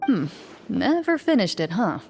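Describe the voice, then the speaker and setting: A woman playing a composed, principled duelist in a video game, speaking in a measured, firm and understated way. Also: medium pitch, big pitch swings, creaky, a little mellow, a little hiss or hum. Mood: calm, resolute.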